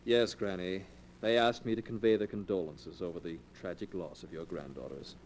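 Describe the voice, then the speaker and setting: An actor playing a greedy, callous, murderous grandson in 1940s radio style, theatrical and falsely polite. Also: male voice, deep voice, fairly even intonation, moderate pace, a little mellow, a little hiss or hum, natural voice. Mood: feigned innocence.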